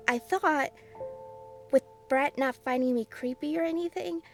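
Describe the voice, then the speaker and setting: Woman acting a high-voiced, slow-speaking vulnerable young woman. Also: gravelly, full character voice. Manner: hesitant, halting. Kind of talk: visual novel voice acting.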